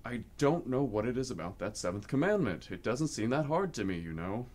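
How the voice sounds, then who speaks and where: A man's voice: mid-range voice, very animated, a little fast, a little mellow. A man acting in a comedic radio play as God, an easygoing, mildly fussy businessman who talks in a casual, hesitant way. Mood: matter-of-fact, chiding.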